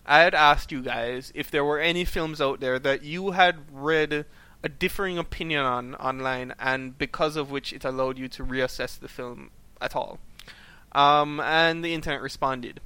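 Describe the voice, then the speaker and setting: A man's voice: fairly high pitch, moderate pace, bright, forceful tone, smooth, no echo. A man hosting a movie podcast, enthusiastic and ready to argue, reading out listener responses. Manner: enthusiastic, argumentative. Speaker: podcast host. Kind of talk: casual chat and listener questions.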